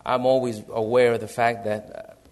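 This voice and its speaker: A man from El Salvador with a Salvadoran Spanish accent, a missionary pastor speaking in an earnest, measured way in a church service. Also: mid-range voice, flat delivery, darkish tone. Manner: earnest, measured, reads from prepared notes. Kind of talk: missionary church presentation and sermon.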